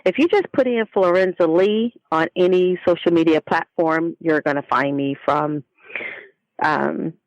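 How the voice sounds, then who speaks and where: A woman's voice: deep voice, unhurried, dry recording, muffled recording. A woman speaking as an author guest in a casual interview, listing things in an emphatic way. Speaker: guest author and narrative coach.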